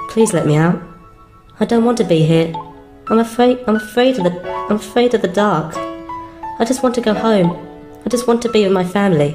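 A scared young boy, acted by a woman, pleading and halting in a dark drama. The voice is echoey, with music clearly behind it. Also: medium pitch, natural voice. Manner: halting, pleading, repeating words. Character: frightened, hurt young boy trapped in darkness.

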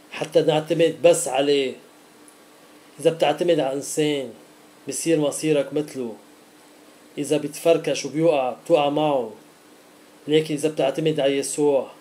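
Mellow Levantine man, slightly high voice. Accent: Levantine Arabic. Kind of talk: informal devotional teaching to camera.

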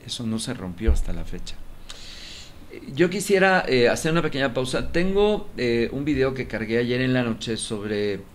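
A man's voice: mid-range voice, sing-song, moderate pace, slight background noise. A middle-aged man hosting a studio talk show, eagerly chiming in and turning formal when he presents. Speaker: TV host. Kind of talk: casual studio conversation. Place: small TV studio.

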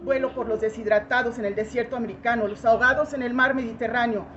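A woman's voice: on the high side, steady tone, fast talker. A woman performing a dramatic poetic monologue in short fragmented phrases, with intense, incantatory theatrical delivery. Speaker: poetry performer. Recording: dull lo-fi recording with loud noise.

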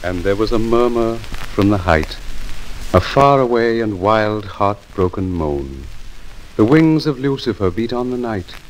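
A solicitous husband in an old radio drama. His voice sits fairly low, soft and measured as he soothes. The recording carries a faint noise. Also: lively intonation, low-quality recording. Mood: solemn, reading. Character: gentle, devoted, solicitous gentleman husband. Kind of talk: 1940s radio drama acting.

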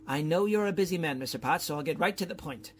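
A man's voice: on the high side, normal speed, slight background noise, lightly acted. A man playing a courtly, bumbling, genial college professor, polite and slightly pompous, in old-time radio comedy style. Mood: sheepish.

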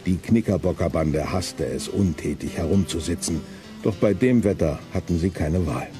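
A man's voice: sits low, normal speed, over music, lightly acted. A man reads scripted narration as the storyteller of a detective audio drama for young listeners. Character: male storyteller of a youth detective tale.

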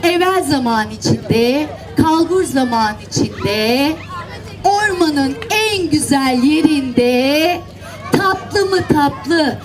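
Very high-pitched, unhurried woman storyteller. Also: animated, music in the background. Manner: playful, addressing children directly. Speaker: children's storyteller. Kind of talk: live fairy-tale telling to children, interactive. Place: outdoors, seaside public event. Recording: outdoor event with crowd and background noise.

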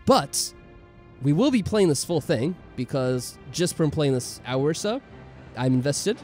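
A man's voice: fairly high pitch, sing-song, a little slow, darkish tone, faint music behind. A man hosting a gaming video in casual chat, rambling and a little self-deprecating. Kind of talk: casual chat over a game playthrough.

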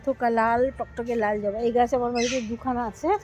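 A woman's voice: a little high, steady tone, fast, over music. A woman chatting casually and effusively to viewers as she vlogs in her home garden, often repeating herself. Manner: effusive, repetitive, showing things to viewers. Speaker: vlogger and host of own page.

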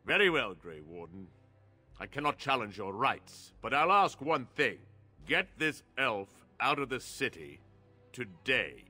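Stern older guard captain in a fantasy game, barking, forceful, swings up and down a lot. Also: male voice, medium pitch, very animated, unhurried, breathy edge, heavily acted. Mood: grudging. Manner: authoritative, curt, commanding.

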